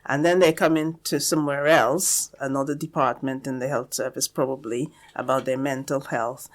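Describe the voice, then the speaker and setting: A woman with a low-pitched voice hosts a radio interview. She speaks conversationally, musing and circling around her point as she puts questions to her guest. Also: steady tone, normal speed.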